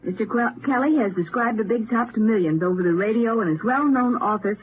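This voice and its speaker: A woman's voice: fairly high pitch, brisk. Tinny vintage female radio presenter. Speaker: announcer introducing guests. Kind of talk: scripted 1930s radio introduction. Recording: tinny, noisy 1939 radio broadcast.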